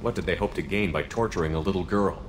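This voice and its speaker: An actress playing a steady, compassionate, firm and direct military commander in a space video game. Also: female voice, very deep voice, fast talker, background noise. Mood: calm, probing. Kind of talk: video game character dialogue.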